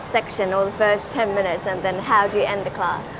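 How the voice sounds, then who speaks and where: A woman's voice: mid-range voice, steady tone, brisk, noisy background. A woman hosting a TV interview show, asking questions politely with many "you know" fillers. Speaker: TV show host. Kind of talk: broadcast interview and scripted intro.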